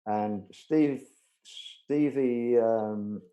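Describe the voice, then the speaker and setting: Chatty man with a mellow voice. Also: medium pitch, very slow. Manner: chatty, anecdotal, frequent restarts and fillers. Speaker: budgerigar show judge, interview guest. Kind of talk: casual storytelling interview.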